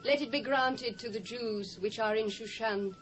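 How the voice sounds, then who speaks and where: A woman's voice: fairly high pitch, moderate pace, slight background noise, lightly acted. A British woman playing a deferential queen, pleading formally in a dramatised audio Bible. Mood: humble, pleading. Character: deferential Persian queen petitioning her king. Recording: dull lo-fi cassette transfer.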